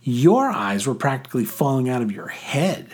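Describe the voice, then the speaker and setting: A man voicing a fiery fifteen-year-old girl in an audiobook, scornful and teasing, with sharp, snapping retorts. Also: medium pitch, sing-song, normal speed, husky.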